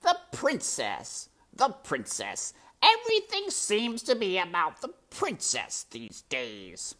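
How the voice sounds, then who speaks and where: A woman's voice: medium pitch, huge pitch swings, a bit bright, voice pushed up, full character voice. A woman voicing a sly, scheming male rat villain who mocks in a silky, theatrical way. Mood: sly mocking. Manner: silky, mocking, drawn-out and theatrical.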